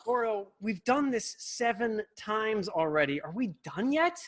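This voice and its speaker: A man's voice: high voice, measured pace, full character voice. A man reading an eager kid sidekick hopeful. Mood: whiny. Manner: eager, excitable kid delivery. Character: eager young boy wanting to learn kung fu. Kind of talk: comedic table read.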